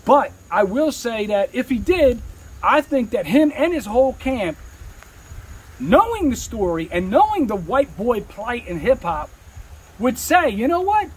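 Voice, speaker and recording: A man's voice: very high voice, big pitch swings, unhurried, husky, rough recording. A man talking candidly in an interview as a rapper, with slangy, streetwise speech peppered with swearing.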